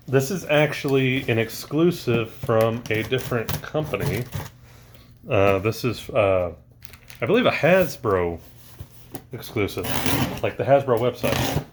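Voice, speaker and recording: A man's voice: medium pitch, lively intonation, takes it slowly, long pauses, noisy background. A man talking casually through a toy unboxing, rambling with lots of ums and uhs, his voice recently back after allergies.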